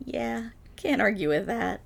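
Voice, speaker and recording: A woman's voice: fairly high pitch, gravelly, husky, heavily acted. A woman voicing an affectionate, silly dog girl in an ASMR roleplay, bubbly, eager and playful.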